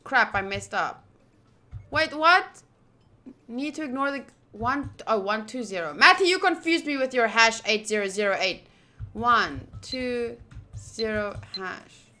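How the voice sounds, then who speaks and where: Female visual novel streamer, bright and higher-pitched, excitable, casual, thinking aloud as she plays and reads. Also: unhurried, soft background music. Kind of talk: casual playthrough commentary mixed with reading game text aloud.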